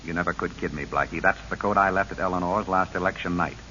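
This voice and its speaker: A man's voice: low-pitched, quick pace, bright, forceful tone. An actor playing an earnest, resolute lawman who speaks sternly and emphatically in a 1940s radio drama. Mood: accusing. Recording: hissy, dull old radio broadcast.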